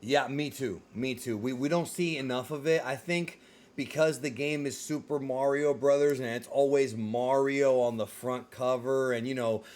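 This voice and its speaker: A man's voice: medium pitch, steady tone, normal speed, a little mellow. A man in his thirties hosting a casual video podcast discussion, conversational and opinionated. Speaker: host of a film discussion show.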